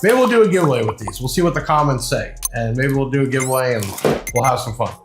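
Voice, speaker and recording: A man's voice: medium pitch, expressive, fast. A man hosting a casual unboxing review, talking informally in a rambling, enthusiastic sales patter. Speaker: video host and shop seller presenting products. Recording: echoey room with music behind.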